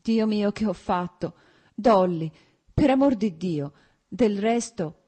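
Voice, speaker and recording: A woman's voice: lowish voice, big pitch swings, moderate pace, dark tone, husky, pitched above the performer's own voice, full character voice. A woman voicing a contrite, timid unfaithful husband who pleads tremulously in an audiobook. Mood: pleading remorse. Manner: tremulous, imploring.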